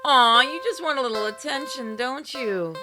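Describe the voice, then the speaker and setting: A woman reading a story game aloud in an expressive narration, acting out exclamations and asides playfully. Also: high voice, normal speed. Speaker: gamer reading a visual novel aloud on a playthrough. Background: music with plucked guitar.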